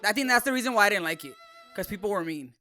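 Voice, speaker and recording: A man's voice: high voice, expressive, racing, bright, forceful tone, faint music behind. A man from California chatting casually as a talk show co-host, slipping some Spanish into his English. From California, United States. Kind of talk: casual chat.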